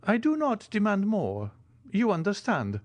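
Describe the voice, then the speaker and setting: A man's voice: high voice, huge pitch swings, measured pace, no room sound, lo-fi sound, pitched above the performer's own voice, exaggerated voice. A man voicing a timid, eager old Italian ex-major who fawns and exclaims. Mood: eager, fawning. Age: older.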